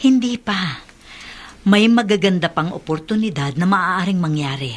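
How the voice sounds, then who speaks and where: A woman's voice: on the low side, moderate pace, natural voice. An actress with a Filipino accent playing a dedicated, compassionate, middle-aged woman doctor in a radio drama. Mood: reassuring. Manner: earnest, reassuring.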